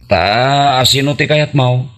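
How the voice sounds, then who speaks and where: A man acting a humble, poor, plaintive suitor with a husky voice and Ilocano accent in a comedy radio drama. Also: medium pitch, unhurried, fairly bright tone, lightly acted. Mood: pleading.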